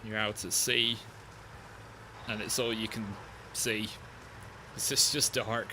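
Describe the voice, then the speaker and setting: Male game streamer, relaxed chatter, gravelly edge, engine noise behind. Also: lowish voice, slow pace. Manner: relaxed, chatty, answers chat between driving comments. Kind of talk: casual live-stream chat while playing.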